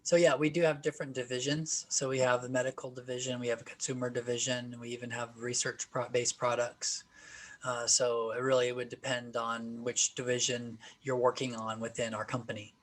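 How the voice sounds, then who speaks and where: A man leads a practice job interview, asking questions at a measured pace. His pitch is mid-range but held very level, so his voice sounds flat and even. He drops in an occasional "uh.".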